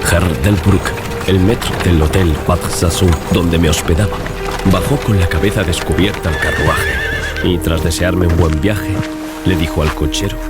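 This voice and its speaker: A man narrating a dramatized gothic horror audiobook as a scripted reading. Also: deep voice. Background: loud music and sound effects.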